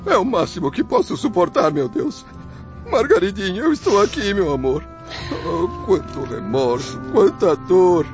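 A desperate, remorseful father in a radio drama, anguished and pleading. His highish voice swings wildly in pitch. The sound is dull and lo-fi, with music behind. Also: sing-song, unhurried, full character voice. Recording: dull lo-fi audio under music.

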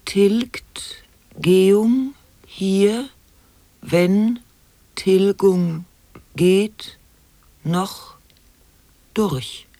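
A man playing an absurd, solemnly musing monologist in an experimental radio play, halting and deliberate, drifting into breathy whispers. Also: high voice, dark tone, no room sound, exaggerated voice.

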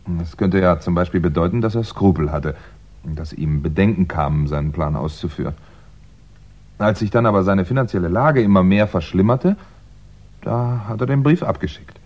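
An actor playing a calm crime writer turned amateur sleuth, reasoning deliberately in a 1960s German radio crime drama. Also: male voice, low-pitched. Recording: dull, muffled 1960s radio recording.

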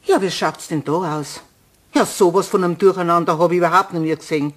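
A woman's voice: lowish voice, fairly even intonation, lightly acted. An actress playing a bustling, grumbling cleaning woman with a Bavarian accent in a German children's radio play. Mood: disapproving, grumbling. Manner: grumbling, brisk, chatty.

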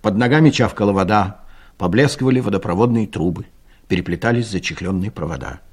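Male storyteller for comic audio drama: raspy, lowish voice, highly animated scripted delivery. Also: brisk. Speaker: narrator.

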